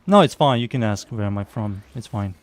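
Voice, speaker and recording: Easygoing Serbian-accented game streamer. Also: male voice, on the low side, expressive, brisk, dry recording. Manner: mutters to himself, reads chat aloud, easygoing. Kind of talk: casual live-stream chatter while playing.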